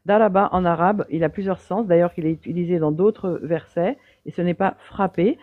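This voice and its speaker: A woman's voice: on the low side, normal speed, no room sound. A woman calling into a live debate, defending her view in a hesitant way with many fillers. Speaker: debate caller. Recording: dry tinny phone line.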